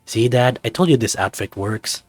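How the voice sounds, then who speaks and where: A man's voice: mid-range voice, animated, moderate pace, no echo, faint music behind, lightly acted. A man voicing a naive, eager young dragon son who protests earnestly to his father in a visual novel. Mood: pleased.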